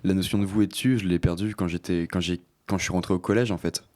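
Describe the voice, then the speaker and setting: A young man hosting a casual chat around a table, explaining his points quickly with frequent fillers. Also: sits low, fairly even intonation, darkish tone.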